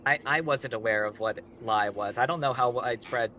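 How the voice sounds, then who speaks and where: A man's voice: medium pitch, fairly quick, bright, forceful tone, a little hiss or hum. A man telling a story casually on a live stream, rambling with conversational asides.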